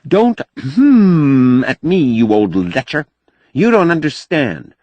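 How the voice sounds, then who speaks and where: A male narrator plays a frank, teasing woman in an audiobook. The voice is lifted high and swings widely, emphatic and drawn out, with a slightly husky, breathy grain. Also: big pitch swings, slow pace, darkish tone, dry recording, exaggerated voice. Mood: teasing, indignant. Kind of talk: audiobook character dialogue.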